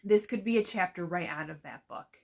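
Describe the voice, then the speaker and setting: A woman's voice: fairly low pitch, fairly quick, gravelly, a little mellow. A woman teacher giving an instructional talk on reading aloud, explaining things and often checking in with a short question. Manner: explanatory, checks understanding with "right?".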